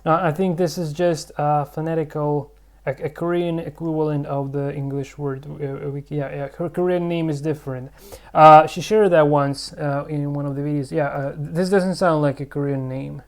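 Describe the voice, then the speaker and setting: A man's voice: fairly high pitch, fairly even intonation, moderate pace, hardly any pauses. A man hosting his own channel, rambling and thinking aloud in a casual explanatory vlog, with many fillers. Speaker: channel host.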